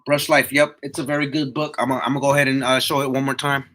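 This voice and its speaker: A man's voice: mid-range voice, flat delivery, very fast, bright, forceful tone. A man in his twenties chatting casually to live viewers in a slangy, motivational way, repeating himself for emphasis. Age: 20s. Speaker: livestream host, tree climber.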